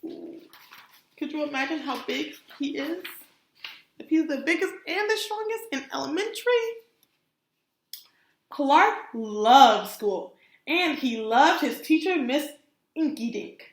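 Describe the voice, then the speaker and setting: High-voiced woman reading aloud to young children. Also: slow pace, long pauses, reverberant. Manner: expressive, prompting young listeners with questions. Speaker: kindergarten teacher. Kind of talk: animated children's read-aloud with questions to listeners.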